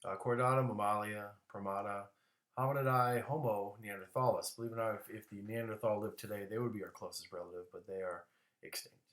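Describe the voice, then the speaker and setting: Casting note: a man in his own voice teaching a casual class, lowish and bright, with stumbles and restarts. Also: steady tone, fast, echoey room. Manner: casual, restarts words, coughs now and then. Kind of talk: classroom lecture.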